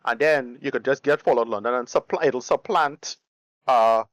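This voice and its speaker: A man chatting casually as a podcast panelist, haltingly, often repeating his words and phrases. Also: mid-range voice, no room sound.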